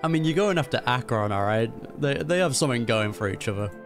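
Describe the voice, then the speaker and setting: A man's voice: mid-range voice, expressive, fast. A man hosting a reaction video, commenting on a game in a laid-back, slangy, amused way. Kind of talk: casual gameplay reaction commentary. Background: game music and dialogue.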